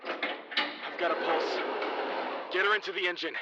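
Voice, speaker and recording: A man's voice: sits high, steady tone, measured pace, lo-fi sound. A man playing an urgent paramedic in an audio drama, giving clipped counts and commands. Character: urgent male paramedic at an emergency scene. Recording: distant voice buried under loud siren noise.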